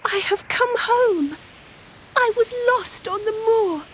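Wailing ghost child at the window, voiced by a male reader; very high, drawn-out pleading, slow. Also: expressive, a bit bright, slight background noise, heavily acted, poor audio. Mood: pleading, sobbing. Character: sobbing ghost of a lost little girl.

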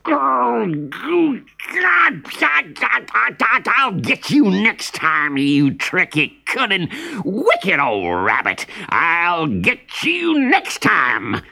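A storyteller voicing a sly, gloating male fox villain, sulky and quick to anger, in a children's storybook tale. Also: mid-range voice, huge pitch swings, sharp tone, no echo, pitched above the performer's own voice, exaggerated voice. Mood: furious. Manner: cackling, whining, drawn-out threats.

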